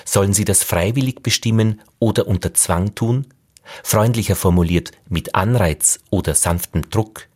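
A man narrating a radio feature in a measured, questioning way. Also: fairly low pitch, darkish tone, breathy edge. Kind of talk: scripted narration.

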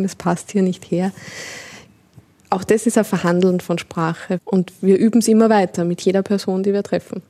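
A woman's voice: fairly low pitch, mellow. A woman giving an expert interview, explaining thoughtfully with everyday examples.

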